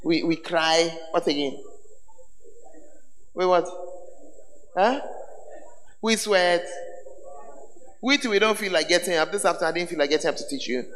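A man preaching as a bishop, his voice hoarse, teasing the congregation with call-and-response questions. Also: sits high, normal speed, pauses often, fairly bright tone, slight background noise. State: hoarse, voice tired. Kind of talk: sermon. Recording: echoey hall with faint ambience.

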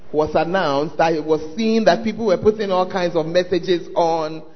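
A man's voice: a little high, fairly even intonation, normal speed, in a large hall, muffled recording. A man with a Ghanaian accent preaching a sermon, prodding the congregation to answer him and talk to their neighbours. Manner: calls out to the audience, prods them to respond. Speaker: pastor. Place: large church hall.

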